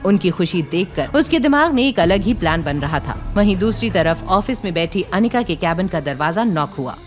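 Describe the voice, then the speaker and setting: A woman's voice: fairly low pitch, animated, normal speed, faint music behind. A woman narrating a scripted audio story in a dramatic storytelling style.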